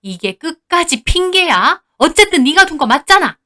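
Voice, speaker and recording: A woman's voice: very high-pitched, very animated, moderate pace, pushed and bright, pitched above the performer's own voice, heavily acted. A woman voicing a furious, contemptuous older mother-in-law in a dramatized story, scolding harshly. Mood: furious contempt. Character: furious, spiteful older mother-in-law berating her daughter-in-law.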